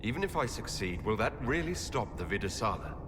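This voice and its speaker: A man's voice: fairly low pitch, sing-song, a little fast, noisy background. A man playing a commanding, resolute and wry military leader in a video game cutscene. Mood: skeptical.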